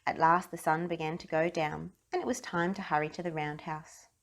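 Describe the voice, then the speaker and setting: A woman reading a children's story aloud in an expressive narrating style. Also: low voice, fairly quick. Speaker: storybook reader.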